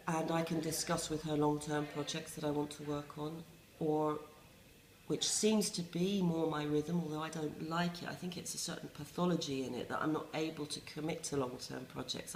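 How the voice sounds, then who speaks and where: A middle-aged English woman, a resident playwright, talking reflectively in a wry, self-mocking way with many pauses and restarts. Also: deep voice, roomy sound, low-quality recording. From England. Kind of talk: reflective interview talk.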